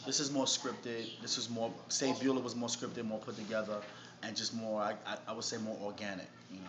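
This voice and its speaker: A man's voice: lowish voice, little pitch movement, fairly quick. A man from Harlem with a New York accent, talking casually and in slang as a rapper being interviewed. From Harlem, New York City. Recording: noisy, muffled, echoey room recording.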